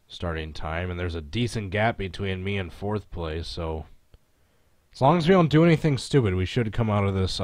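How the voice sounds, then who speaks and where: A man's voice: sits low, a little mellow, no room sound. A man with a stuffy, congested voice chatting offhandedly while live streaming a racing game. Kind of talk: casual live stream chat and game commentary.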